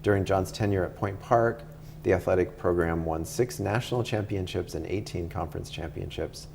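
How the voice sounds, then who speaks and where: Deep gravelly-voiced man hosting. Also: darkish tone, slight background noise. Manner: friendly, halting with many ums. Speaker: host. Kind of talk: casual interview chat.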